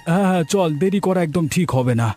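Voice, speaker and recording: A hardworking village fisherman, voiced by a man in a moral cartoon. His voice sits somewhat high for a man. He is a devoted family man, and music plays softly behind him. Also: fast, natural voice. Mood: chatty, accepting. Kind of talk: Bengali moral cartoon dubbing.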